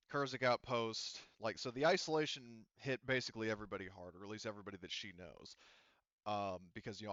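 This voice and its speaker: A man running a tabletop roleplaying game off the cuff as game master, rambling and halting with frequent restarts and asides. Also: on the low side, a bit bright, clean tone, no room sound, muffled recording.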